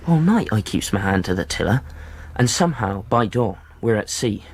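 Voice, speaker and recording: A man's voice: on the low side, very animated, moderate pace, slightly rough, no echo, exaggerated voice. A man playing an earnest seafaring lad who narrates his own adventure in dialect, in a radio drama. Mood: tense recounting. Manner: rustic dialect, earnest storytelling. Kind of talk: dramatised first-person narration.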